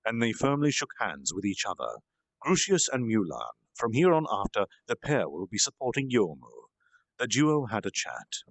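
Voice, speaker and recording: A man's voice: on the low side, very animated, moderate pace, vocal fry, no echo, low-quality recording. A man narrating a fantasy story aloud as an informal storytime reader. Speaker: storytime streamer reading aloud. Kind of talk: animated amateur story narration. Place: at home in a reading chair.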